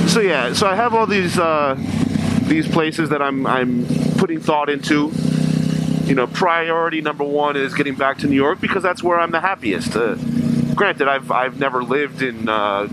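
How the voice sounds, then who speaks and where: A man's voice: on the high side, moderate pace. A young man with a cold-stuffed nasal voice, chatting casually for a vlog while riding a motorcycle, rambling and correcting himself. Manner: rambling, self-correcting, upbeat. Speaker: vlogger. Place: outdoors on a motorcycle in traffic. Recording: loud traffic noise behind.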